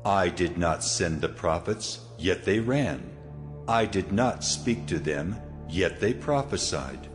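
A man's voice: low voice, lively intonation, slow pace. A man reads scripture in a solemn, dramatized narrating style. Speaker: Bible narrator. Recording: music behind the voice. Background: music.

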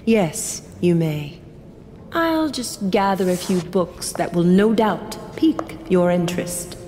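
A woman's voice: on the low side, lively intonation, slow pace, warm tone, a little hiss or hum. An actress playing a kindly, scholarly lady mentor in a fantasy audio drama, speaking formally and graciously. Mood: cautioning, storytelling. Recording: long hall reverb, music behind.

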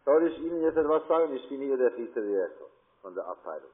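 A man speaking as a call centre manager in a halting, self-important manner. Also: medium pitch, big pitch swings, slightly rough. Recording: tinny phone line.

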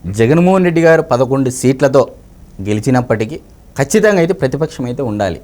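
Male interviewee, mid-pitched, emphatic political opinion in a conversational register, repeats key phrases. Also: lively intonation, quick pace, darkish tone. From Andhra Pradesh, India. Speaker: interviewee, member of the public giving political opinions.

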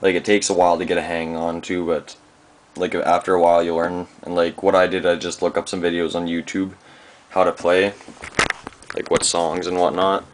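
A young man with a very low voice talks through a casual home demo. He is laid-back and halting, leaning on filler words. The amateur camera mic picks up plenty of noise around him in the small room. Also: level tone. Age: young adult. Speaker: amateur musician demonstrating gear. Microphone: handheld camera's built-in microphone. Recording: noisy amateur camera microphone.

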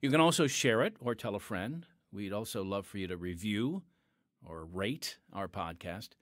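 Male host, friendly and chatty, animated delivery, dry studio sound. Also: fairly low pitch, moderate pace, no room sound. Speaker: podcast host. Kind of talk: podcast host segment and announcements.

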